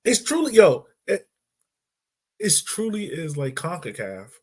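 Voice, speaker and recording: A man hosting a podcast in relaxed, chatty sports talk, often laughing and dropping casual fillers. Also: on the high side, unhurried, breathy edge. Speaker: podcast host.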